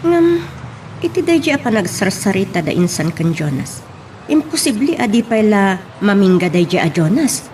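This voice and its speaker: A woman's voice: medium pitch, big pitch swings, moderate pace, darkish tone, close to an everyday voice. A woman with an Ilocano Filipino accent playing a worried young cousin and confidante in an audio drama. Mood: troubled, earnest. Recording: faint background noise.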